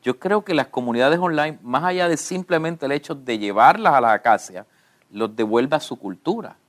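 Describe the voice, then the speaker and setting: A man, interviewing a pastor and telling stories in a lively way. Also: mid-range voice, moderate pace. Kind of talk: church radio interview.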